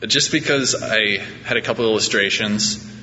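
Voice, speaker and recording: A man with a deep voice preaching an informal, conversational sermon as a pastor, with a self-deprecating manner. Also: moderate pace, bright, forceful tone. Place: large reverberant church room during a service. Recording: reverberant hall, muffled, background hum.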